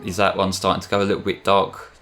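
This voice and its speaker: A man's voice: deep voice, monotone, fast talker, gravelly, fairly bright tone, a little hiss or hum. A man co-commentating a game casually, with a joking, wry manner and some swearing. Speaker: let's play co-commentator. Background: game music.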